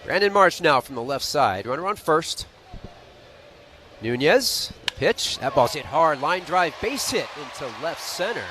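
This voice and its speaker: A man's voice: on the high side, sing-song, a little slow, sharp tone, slight background noise. An American man calling live baseball play-by-play on the radio in a conversational style.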